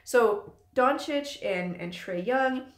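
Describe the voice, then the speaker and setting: A woman's voice: a little high, expressive, slow. A woman in her late twenties hosting her own channel, chatting loosely and rambling with lots of ums in a live stream from a room at home. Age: late 20s. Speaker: channel host. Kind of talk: casual live-stream chat. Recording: echoey room.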